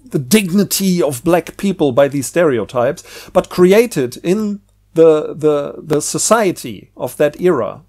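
Man with higher voice, halting delivery. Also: darkish tone. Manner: hesitant, halting, rambling with repeated words. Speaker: book review video host. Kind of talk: casual unscripted book review.

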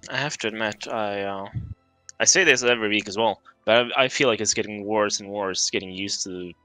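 Bright-voiced man, calm. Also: lowish voice, a little fast, no echo, soft background music. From Norway. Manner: calm, explanatory, dry quips. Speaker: streamer co-host. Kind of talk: casual gameplay chat. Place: small home room.

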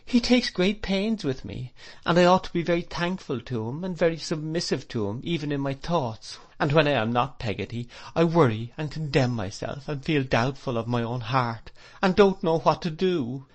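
A man reading aloud, voicing a gentle, fretful young mother who pleads and chides in a raised, tearful tone. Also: medium pitch, lively intonation, normal speed, voice pushed up.

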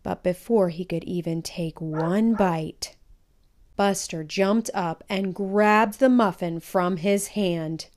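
A woman reading a children's storybook aloud in expressive narration. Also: on the low side, takes it slowly. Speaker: storybook reader.

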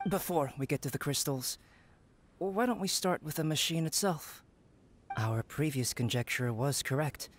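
A man voicing a calm, analytical young scholar in a game, speaking in a measured, formal way. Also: on the high side, a little hiss or hum, natural voice. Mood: composed, analytical. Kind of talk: game voice acting.

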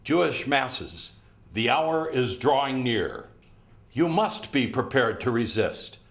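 Man as a slow, rousing resistance leader. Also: medium pitch, very animated, vocal fry, a bit bright, heavily acted. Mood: urgent, defiant. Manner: emphatic, declamatory. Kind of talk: dramatic proclamation.

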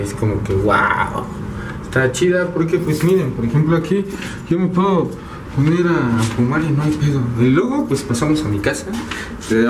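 A man's voice: medium pitch, recorded from a distance, background noise. A young man with a Mexican Spanish accent giving a casual, slangy tour of his small apartment to his viewers. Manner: slangy, energetic, talks to viewers as "banda". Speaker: vlogger. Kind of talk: casual house tour vlog. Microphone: handheld camera mic. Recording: echoey room, a bit off-mic.

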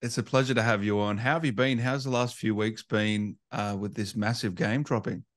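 A man hosting a casual interview podcast, friendly, laughing often and reacting quickly. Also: on the low side, fairly even intonation, no echo, studio quality. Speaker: podcast host.

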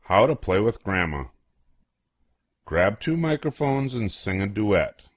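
An older man with a fairly low voice reads a picture book aloud to young children. His delivery is playful and expressive, with big swoops in pitch and a slow, unhurried pace. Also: no echo, clean studio sound. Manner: playful, acts out exclamations and questions.

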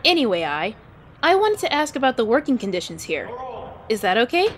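A woman's voice: fairly high pitch, big pitch swings, fairly quick, a little hiss or hum. A woman playing a reserved, easily flustered young inspector in an anime-style fan audio drama, polite but terse and dry.

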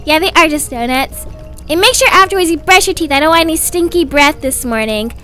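Lady doing a chatty doting mommy in a roleplay, high bright voice, light music behind. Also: brisk. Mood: gently bossy. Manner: gushing, chatty, fussing. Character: perky, doting young mom of twins.

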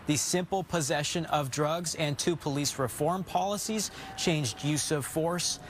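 Young male field reporter, higher voice. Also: measured pace, a little hiss or hum. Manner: crisp, scripted delivery. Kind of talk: news report. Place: outdoors at night on a town street.

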